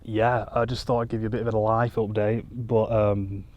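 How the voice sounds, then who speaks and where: Monotone young man outdoors. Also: lowish voice, moderate pace, highs cut off, a little hiss or hum. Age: 20s. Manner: monotone, self-deprecating, rambling. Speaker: YouTuber. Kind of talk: casual vlog talk to camera. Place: outdoors on a hilltop. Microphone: mic with furry windscreen.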